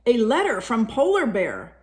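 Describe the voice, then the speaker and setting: A cheerful, helpful spectacled bear from a picture book, put on by a woman storyteller. He has a somewhat high voice. His way of talking is friendly and matter-of-fact. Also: wildly swooping pitch, measured pace.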